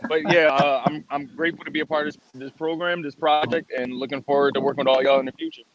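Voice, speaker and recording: A man's voice: on the high side, fairly even intonation, fast talker. A man in his early thirties, a guest mentor on a casual video call, easygoing and joking. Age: 30s. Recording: dull lo-fi call audio.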